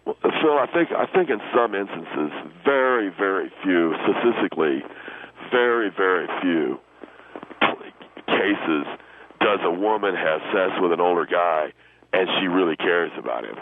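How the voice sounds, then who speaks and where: A man playing a crude, indignant young Generation X guest on a comedy radio call-in, halting, repetitive and slangy, over a phone line. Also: low voice, animated, noisy background, voice pushed down. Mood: defensive, dismissive.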